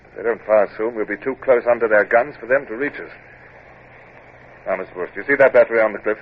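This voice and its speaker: An actor playing a stern British naval captain in a radio drama, speaking in a clipped, formal, commanding way, with measured narration. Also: male voice, lowish voice, close to an everyday voice. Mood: tense. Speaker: lead actor and first-person narrator. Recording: muffled, noisy vintage radio recording.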